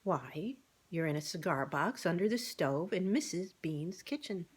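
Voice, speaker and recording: A woman's voice: lowish voice, animated, a little slow, breathy edge. Woman reading as brash, blunt tomcat. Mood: friendly. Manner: blunt, challenging. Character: brash, plain-spoken male farm cat. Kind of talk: children's storybook read-aloud.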